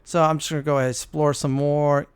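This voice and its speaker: A man's voice: fairly high pitch, flat delivery, fast talker, clean tone, no echo. A man hosting a gaming channel, giving casual, instructive walkthrough commentary. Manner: informal, instructive.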